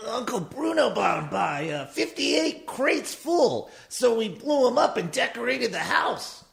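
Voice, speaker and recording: A woman's voice: a little high, very animated, a little slow, creaky, full character voice. A woman acting a mobster's blithe, offhand kid in a comedic radio play. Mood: explaining.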